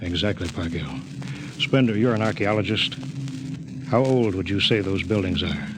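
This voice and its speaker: An actor as stern low-voiced rocket captain, old noisy radio. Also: male voice, moderate pace, close to an everyday voice. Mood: stern, firm. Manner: clipped, commanding, no-nonsense. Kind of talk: 1950s radio drama acting. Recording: noisy vintage 1950 radio broadcast.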